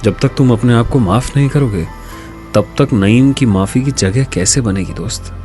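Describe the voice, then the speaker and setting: A man's voice: medium pitch, moderate pace, mellow, soft background music, natural voice. An actor as a frank, chummy male college dean. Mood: concerned, earnest. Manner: informal, chummy, earnest. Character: genial, frank male college dean and loyal old friend. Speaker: actor in an audio drama. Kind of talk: Hindi radio drama acting.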